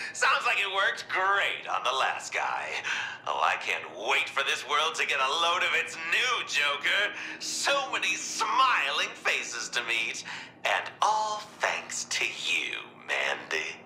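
Game villain role: mocking young psychotic clown, high sharp voice that swings wildly. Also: male voice, huge pitch swings, takes it slowly, soft background music, full character voice, low-quality recording. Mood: manic glee. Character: flamboyant, gleefully sinister younger male clown villain.

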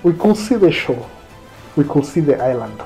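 A man's voice: on the high side, big pitch swings, normal speed, dark tone. A man in his thirties with a Kenyan accent, telling his own story conversationally in a seated interview indoors. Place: small quiet indoor room, seated. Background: faint music.

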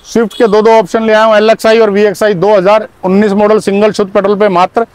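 A middle-aged man, a used car dealer, giving an energetic sales pitch outdoors on a car lot, speaking in punchy bursts and calling the viewers his brothers, recorded on a lapel mic. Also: fast talker, no echo. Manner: punchy, repeats "my brothers" often.

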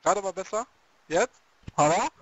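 A man's voice: high voice, animated, normal speed, no echo, low-quality recording. A German man joking around in game chat with playful mock announcements. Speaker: gamer. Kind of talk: joking gameplay chat through an in-game megaphone.

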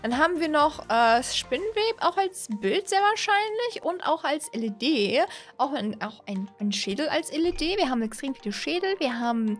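High-voiced excitable young woman reacting. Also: normal speed, faint music behind. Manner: excitable, exclaiming, repeats herself. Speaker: gaming video host. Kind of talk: casual excited reaction commentary. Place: small home streaming room, indoors. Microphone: desk condenser microphone, close.

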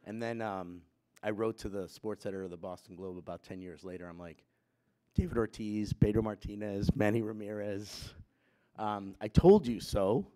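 A man in his fifties from Puerto Rico speaks into a gooseneck mic at a chapel lectern. His voice sits fairly low, and he talks in a loose, conversational way with plenty of fillers. He builds to emphatic bursts. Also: normal speed, warm tone. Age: 50s. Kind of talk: personal talk to students. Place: wood-panelled school chapel, students seated behind.

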